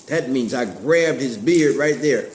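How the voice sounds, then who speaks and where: A man telling a Bible story to children in an animated way, in simple words with repeated phrases and questions. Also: mid-range voice, measured pace. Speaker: storyteller, puppet show host. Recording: roomy echo, clear background noise.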